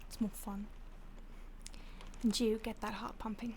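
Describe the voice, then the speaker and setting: A woman voicing a polite, predatory female vampire who toys with her captive in soft, often whispered, mock-polite speech. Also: medium pitch, level tone, measured pace, slight background noise, close to an everyday voice. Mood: menacing relish. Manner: teasing, mock-polite, savoring. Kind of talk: audio roleplay.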